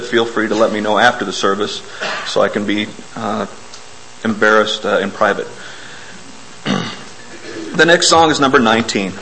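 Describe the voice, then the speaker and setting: A man preaching an informal, self-deprecating sermon in a church, hoarse from a bug and often clearing his throat. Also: fairly low pitch, a bit bright, slight background noise, rough recording. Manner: folksy, self-deprecating, explains hymn words. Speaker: lay preacher.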